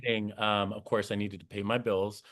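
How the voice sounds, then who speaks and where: A man's voice: on the low side, little pitch movement, fairly quick, fairly bright tone. A young man speaking casually and earnestly as an interview guest, telling his own story in a self-reflective way. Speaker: podcast guest, nightlife and members-club professional. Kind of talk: casual interview talk, personal career story.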